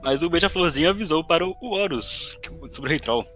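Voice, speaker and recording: A man's voice: fairly high pitch, animated, fast talker, sharp tone, faint music behind. A Brazilian man chatting casually as a podcast co-host, teasing and wry in his commentary.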